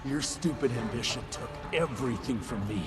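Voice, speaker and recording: An actor playing a determined monster hunter who speaks tersely and resolutely. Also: male voice, mid-range voice, lively intonation, normal speed, over music, natural voice. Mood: bitter. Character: grim male monster hunter, determined son.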